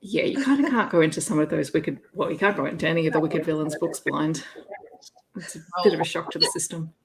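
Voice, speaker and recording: Low-voiced woman hosting a casual livestream. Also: quick pace, creaky, poor audio. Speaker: host of an online readalong livestream. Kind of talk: casual livestream chat about romance books.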